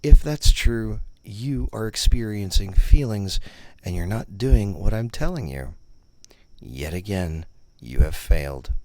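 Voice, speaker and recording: Very deep-voiced, slow deadpan meditation guide, male. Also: dry recording, poor audio. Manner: deadpan, instructing, condescending. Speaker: narrator of a parody guided meditation. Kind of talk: scripted parody guided meditation narration.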